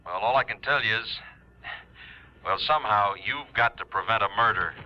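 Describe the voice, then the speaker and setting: A man's voice: on the low side, moderate pace, close to an everyday voice. Actor as an impatient insurance man, muffled. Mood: urgent. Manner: urgent, impatient. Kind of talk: 1950s radio drama acting. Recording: muffled vintage radio recording.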